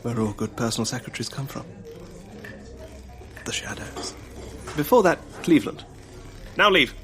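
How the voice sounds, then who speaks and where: An actor playing a shadowy, sinister personal secretary in a comedic audio drama, curt and menacing. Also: male voice, mid-range voice, animated, normal speed, fairly bright tone, lightly acted. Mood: coldly dismissive.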